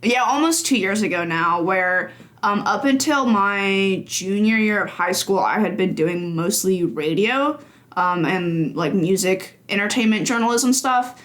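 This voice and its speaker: A woman's voice: mid-range voice, measured pace, a bit bright. Laughing young woman telling stories. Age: young adult. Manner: chatty storyteller, laughs easily, many ums. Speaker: games journalist guest. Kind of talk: casual podcast interview.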